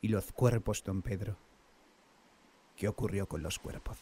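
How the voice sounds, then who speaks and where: An actor playing a concerned colonial sailor with a husky voice in a radio drama. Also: male voice, on the low side, unhurried, mellow. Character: concerned Spanish colonial sailor questioning gently.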